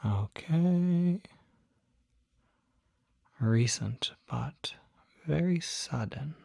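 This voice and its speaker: Performer as demon doctor, ASMR roleplay, extremely slow, soft and often whispered, husky, attentive, gentle bedside manner. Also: mid-range voice, expressive, dry recording, studio quality, heavily acted. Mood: calm, attentive. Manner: slow, deliberate, gentle bedside questioning with pauses. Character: calm, attentive demon doctor treating a patient.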